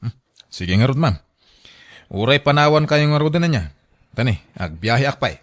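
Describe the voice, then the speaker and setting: Lively, polite Ilocano man played by an actor. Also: medium pitch, normal speed, dry recording, clean studio sound, natural voice. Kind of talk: Ilocano radio drama acting.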